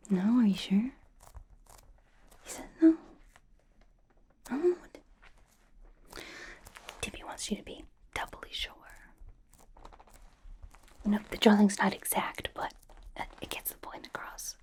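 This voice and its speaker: A woman's voice: mid-range voice, expressive, slow pace, pauses often, darkish tone. A woman playing a quirky, playfully suspicious young superheroine in an ASMR roleplay, coaxing and questioning in an airy whisper. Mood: mock-stern questioning. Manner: coaxing, playful mock-interrogation, repeats phrases. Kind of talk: ASMR roleplay, personal attention.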